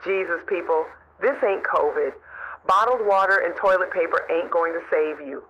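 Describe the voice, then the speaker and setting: A woman playing a brash, soft-hearted citizen reporter in a radio drama, blunt and folksy, heard through a radio filter. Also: lowish voice, moderate pace. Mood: brash, wry. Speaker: caller. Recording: muffled, noisy radio call-in line.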